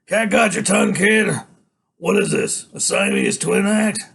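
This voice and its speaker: A big, menacing biker boss from a storybook, as a man narrator puts him on. He barks and taunts in rough slang, with pitch that jumps about and some creak. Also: animated, measured pace, fairly bright tone, exaggerated voice. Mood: mocking. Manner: bullying, slangy, swinging between barking and taunting.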